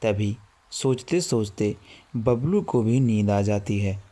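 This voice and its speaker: A man's voice: on the low side, lively intonation, slow, warm tone. A man reading a scripted horror story as its narrator.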